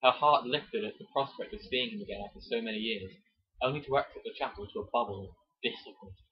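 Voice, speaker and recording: A man's voice: medium pitch, quick pace. A man giving a theatrical, mock-dramatic amateur reading of a romance novel, breaking off for joking asides. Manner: jokey, theatrical, breaks off to comment. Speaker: amateur reader and commentator. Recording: dull, muffled lo-fi recording.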